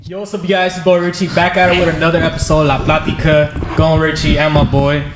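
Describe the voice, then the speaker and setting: A man's voice: a little high, level tone, fast, reverberant, noisy background. A man hosting a casual podcast, talking in hyped slang and asking "you feel me" again and again. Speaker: podcast host.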